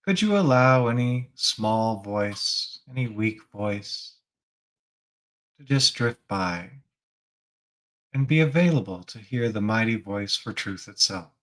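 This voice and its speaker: A man leading a guided spiritual meditation as a teacher, gently prompting the listener with reflective questions. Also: lowish voice, animated, takes it slowly, low-quality recording.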